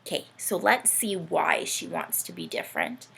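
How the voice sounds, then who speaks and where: A woman's voice: sits low, normal speed, vocal fry, breathy edge, rough recording. A woman hosting a children's storytime, talking playfully to young listeners and asking them lots of questions. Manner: animated, addresses children with frequent questions. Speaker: children's read-aloud host with a puppet sidekick. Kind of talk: playful children's storytime presenting and read-aloud.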